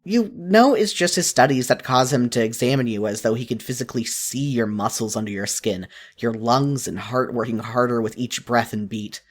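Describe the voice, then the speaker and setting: A narrator reading a second-person story aloud in an expressive style, as in a fan fiction audiobook. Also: medium pitch, normal speed.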